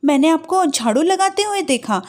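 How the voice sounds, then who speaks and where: A woman's voice: very high voice, lively intonation, normal speed, pitched above the performer's own voice. A woman voicing an earnest young city-bred daughter-in-law in a story cartoon, polite but easily startled and exasperated. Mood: eager, polite. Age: young adult. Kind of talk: moral-story cartoon voice acting.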